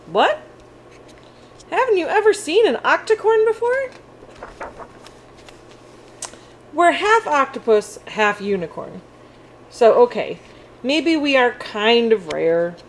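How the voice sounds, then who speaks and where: A quirky little octopus-unicorn, read aloud by a woman with very swoopy, animated pitch. The storybook creature is friendly and a touch lonely, and there is clear room noise behind. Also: slow pace, pauses often, full character voice, rough recording. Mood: chatty, quirky. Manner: animated, childlike storybook delivery. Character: friendly, quirky, slightly lonely young octopus-unicorn picture-book character.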